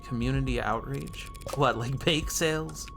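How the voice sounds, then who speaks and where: A man's voice: medium pitch, measured pace, vocal fry, a bit bright, faint music behind. A man voicing a cool female defense lawyer in a noir game, questioning and sardonic with a dry wit. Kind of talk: reading noir game dialogue aloud.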